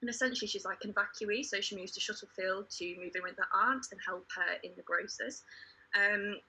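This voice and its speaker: A woman talks in casual panel chat. Her mid-pitched voice is very bright and forward, with a husky grain. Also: brisk, poor audio. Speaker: actor on a panel.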